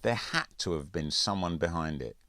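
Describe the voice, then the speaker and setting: A man's voice: sits low, animated, normal speed, sharp tone, dry recording. A man reading a scripted first-person story as an audiobook narrator. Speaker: author reading own audiobook.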